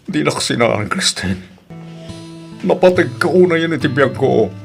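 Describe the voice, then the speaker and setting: A man's voice: on the high side, expressive, moderate pace, creaky, soft background music. A man with an Ilocano accent acting in an audio drama, playing a heartbroken, pleading spurned lover. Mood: hurt, pleading.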